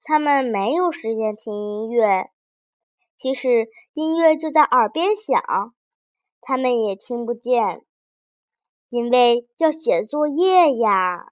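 Woman reading a kids fairy tale, high voice, takes her time, very expressive, dry studio-like sound. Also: slow pace, no room sound. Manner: animated, addresses young listeners with questions. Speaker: children's storyteller.

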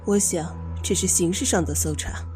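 A woman's voice: on the low side, moderate pace, a little mellow, soft background music, lightly acted. An actress playing a bitter, guarded bereaved mother, suspicious and defensive, in an audio drama. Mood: bitter, subdued.

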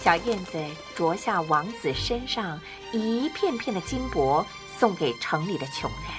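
A woman narrating a children's fairy tale from a script, with an expressive storytelling manner. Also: fairly low pitch, a little slow. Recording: clear music bed. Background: music.

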